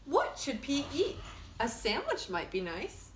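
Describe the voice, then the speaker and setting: Female storybook reader for kids, teacherly, higher-pitched and very lively, roomy sound. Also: measured pace, background noise. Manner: expressive, simple phrasing aimed at young children. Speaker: teacher reading a story to children. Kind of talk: children's storybook read-aloud. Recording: echoey room.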